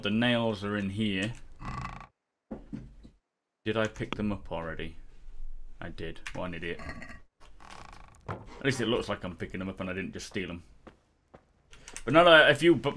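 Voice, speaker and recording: A man's voice: lowish voice, fairly bright tone, slight background noise. A man casually commenting over gameplay in a chatty, self-mocking way. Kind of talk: casual let's-play commentary.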